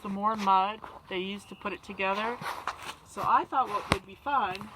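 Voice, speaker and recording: A woman reading a children's story and chatting, expressive, with simple child-directed phrasing. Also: mid-range voice, normal speed, noisy background. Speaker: story-time reader for children.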